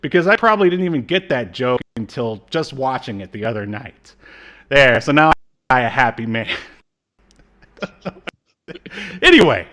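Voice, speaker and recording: A man's voice: mid-range voice, expressive, moderate pace, pauses often, bright, forceful tone. A man in his thirties co-hosting an informal review chat, talking in a casual, joking way. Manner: jokey, informal. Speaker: podcast co-host. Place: indoor room, on a video call.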